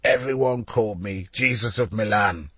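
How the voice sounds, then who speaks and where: Throaty madman villain for an audiobook, slow and menacing, put on by the male narrator. Husky, dry sound. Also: fairly low pitch, bright, forceful tone, no echo.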